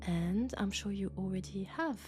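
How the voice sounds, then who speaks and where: A woman with a French accent, sick with a cold, playing a gentle, sociable traveling doctor from Mars in an intimate sci-fi audio roleplay, chatty and soft. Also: medium pitch, moderate pace, over music, natural voice. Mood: affectionate, playful. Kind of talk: intimate sci-fi roleplay acting, one-sided conversation.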